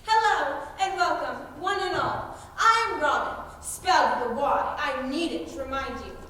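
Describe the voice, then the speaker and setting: A young woman acting a brash, bossy female outlaw leader in a high school stage comedy, projecting theatrically and mockingly. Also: a little slow, pushed and bright. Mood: bossy, indignant. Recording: echoey hall, distant mic, noisy.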